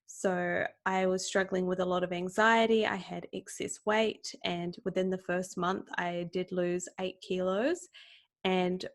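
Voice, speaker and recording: A woman's voice: fairly low pitch, level tone, a little slow. Upbeat New Zealand woman hosting a podcast. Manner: upbeat, gushing, uses fillers like "um" and "like". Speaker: podcast host. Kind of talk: casual solo podcast intro.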